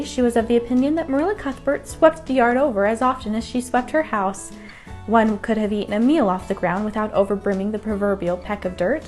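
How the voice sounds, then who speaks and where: A woman's voice: fairly high pitch, darkish tone. A woman narrating a children's bedtime story from a script. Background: music.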